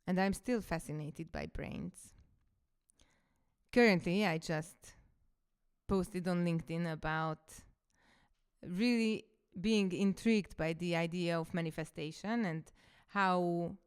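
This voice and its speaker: A woman's voice: fairly low pitch, clear, resonant tone. A woman in her thirties, a coach and podcaster whose first language is Hungarian, telling her story in a reflective, casual monologue.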